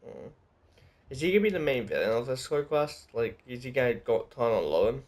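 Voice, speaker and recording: A man's voice: medium pitch, big pitch swings, fairly quick, a little mellow. A man commenting casually on a game as he plays, musing and speculating. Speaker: streamer.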